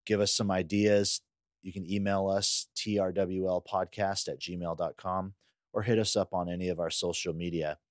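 Male American co-host, low-pitched, informal and thoughtful, recorded dry. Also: flat delivery, normal speed, no echo. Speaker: podcast co-host. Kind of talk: casual podcast conversation.

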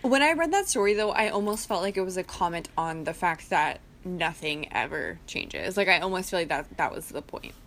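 A tipsy woman chats casually as a podcast co-host. Her pitch swings up and down in a lively way as she rambles in a giggly, filler-heavy style. Faint noise sits behind her voice. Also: moderate pace, hardly any pauses. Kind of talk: casual group podcast chat.

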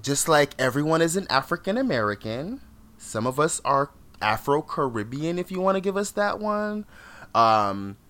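A man's voice: on the high side, big pitch swings, normal speed, fairly bright tone. A man from Jamaica co-hosting a podcast, chatting casually in an emphatic way and laughing often. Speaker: podcast co-host. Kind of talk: casual chat.

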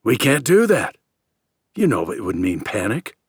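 A man's voice: lowish voice, sing-song, measured pace, breathy edge, dry recording, studio quality, full character voice. A man voicing an evasive, controlling captor in an audiobook, placating and patronizing. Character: evasive, controlling male captor, coolly placating, secretly menacing.

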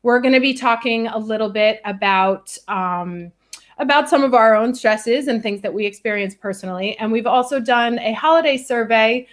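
A woman hosting a live online conversation from home, measured and friendly, with frequent fillers. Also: medium pitch. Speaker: host. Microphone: video call.

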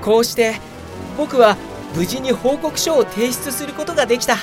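A woman voicing a meek, excitable young male office worker in a radio drama, earnest and hesitant. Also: a little high, moderate pace, music in the background, lightly acted. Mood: earnest narrating. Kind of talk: Japanese radio drama acting.

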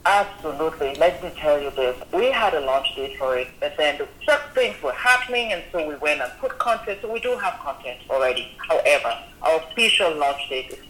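A woman with a Cameroonian accent, a magazine founder speaking as a guest on a radio interview, expressive and quick to laugh. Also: sits low, reverberant, slight background noise, low-quality recording. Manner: expressive, laughing often, acts out quoted voices. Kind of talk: animated radio interview talk.

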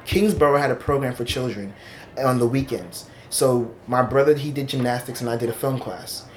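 A man's voice: mid-range voice, moderate pace, poor audio. A man in his thirties from Long Island, speaking candidly and reflectively as an oral history interviewee, recorded in an office. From Long Island, New York. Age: 30s. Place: office indoors.

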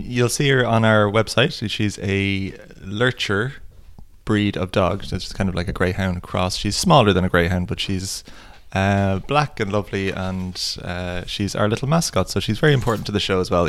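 A man's voice: deep voice, normal speed, no echo. A man co-hosting a casual podcast, joking and rambling. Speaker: podcast co-host. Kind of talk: casual chat.

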